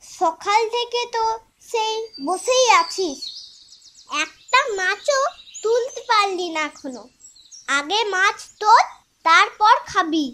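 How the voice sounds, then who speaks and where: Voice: very high voice, expressive, slow, pauses often, sharp tone, full character voice. A performer voicing a bossy little village sister in a cartoon, nagging and teasing. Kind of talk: Bengali cartoon acting.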